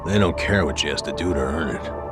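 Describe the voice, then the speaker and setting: Male game sleuth: rock-bottom pitch, plain-spoken, doubting, reasons things out flatly. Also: fairly quick, loud music behind the voice. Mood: grimly reasoning.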